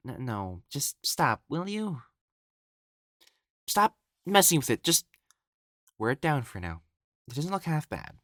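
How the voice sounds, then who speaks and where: A man's voice: on the high side, a bit bright, no room sound, exaggerated voice. A man voicing an elegant, effeminate male matchmaker in a scripted audio roleplay, prim and formal, haughty yet easily flustered. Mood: flustered, sharp.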